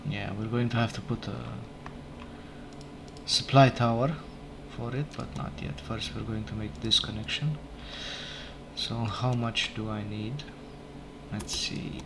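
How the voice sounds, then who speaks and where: A man whose first language is not English, casually commenting on his game as he plays, unhurried and thinking aloud, often correcting himself. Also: fairly low pitch, steady tone, creaky, noisy background. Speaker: let's play gamer. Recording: game music playing behind. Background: game music.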